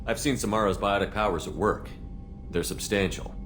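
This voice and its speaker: Guy doing stoic military leader in a game, deep terse voice, big reverb. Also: moderate pace, slight background noise, natural voice. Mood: matter-of-fact. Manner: terse, steady, matter-of-fact. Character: stoic, commanding human male military commander. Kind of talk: video game dialogue.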